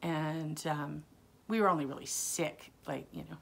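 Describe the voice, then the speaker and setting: A woman's voice: deep voice, unhurried, creaky. A woman hosting her knitting podcast in a casual, rambling way, full of ums and self-corrections, with a lingering chest cough. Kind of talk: casual solo podcast chat.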